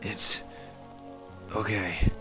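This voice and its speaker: A man playing a wounded, dying Marine captain in an audio drama, struggling to speak in halting, strained phrases with stoic reassurance. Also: music in the background. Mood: pained, resigned.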